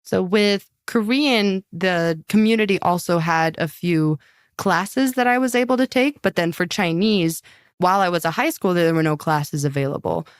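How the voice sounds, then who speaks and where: A woman's voice: lowish voice, normal speed, clear, resonant tone, no echo, clean studio sound. A young American woman, a guest on a podcast, chatting casually and upbeat with many "like" fillers. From United States. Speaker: podcast guest, language learner and singer. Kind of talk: casual interview chat.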